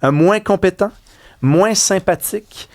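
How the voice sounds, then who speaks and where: A man with a Québécois French accent hosting a talk radio discussion, asking pointed questions in an animated, rhetorical way. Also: high voice, unhurried, no room sound. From Quebec. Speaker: host.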